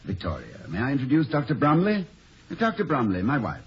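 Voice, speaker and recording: An actor playing a gallant, flustered middle-aged professor in 1950s radio comedy-drama, speaking haltingly with a gentle stammer. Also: male voice, medium pitch, huge pitch swings, breathy edge, full character voice. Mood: courteous. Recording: muffled old 1950s radio recording.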